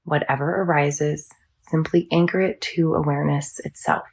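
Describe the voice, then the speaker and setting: A woman teaching yoga nidra, guiding a meditation in a soothing, measured, instructional manner. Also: low-pitched, fairly even intonation, vocal fry, breathy edge. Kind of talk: guided meditation.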